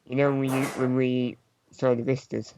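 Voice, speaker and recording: A man on a gaming podcast panel, talking casually in short, halting pieces. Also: medium pitch, flat delivery, a little mellow, slight background noise, poor audio.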